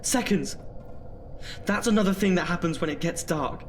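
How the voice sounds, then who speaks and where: An actor playing an anxious young British student in an audio drama, nervously talking himself through his fear of the dark. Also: sits high, normal speed, slight background noise, natural voice. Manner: jittery, muttering self-talk with sighs. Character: anxious student afraid of the dark, self-scolding.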